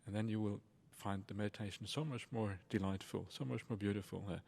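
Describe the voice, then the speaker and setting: A man leading a guided meditation as a teacher, speaking in a soothing, unhurried and reassuring manner. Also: lowish voice, steady tone, vocal fry, darkish tone. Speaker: Buddhist monk and meditation teacher.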